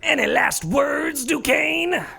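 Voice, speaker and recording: Chin Chin, a woman playing a shouting alien villain. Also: high voice, sing-song, takes it slowly, creaky, exaggerated voice. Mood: menacing. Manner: shouting commands, then flustered and rambling. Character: pompous alien villain demanding last words. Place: live theater stage. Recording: guitar music behind a live stage.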